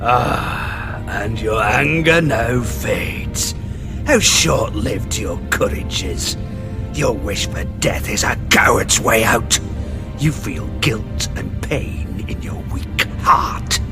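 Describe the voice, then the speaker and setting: A sneering, power-mad male villain in an audio drama, theatrical and taunting. He speaks slowly with a gravelly edge, over clearly audible dramatic music. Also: medium pitch, expressive. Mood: cold taunting.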